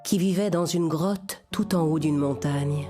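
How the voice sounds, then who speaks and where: A woman's voice: low-pitched, unhurried. Female bedtime story narrator over music.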